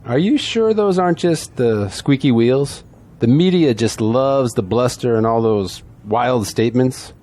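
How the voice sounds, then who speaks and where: Actor as a measured veteran politician. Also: male voice, medium pitch, dark tone, natural voice. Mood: skeptical. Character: seasoned, courteous, skeptical veteran city politician. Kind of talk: audio drama acting.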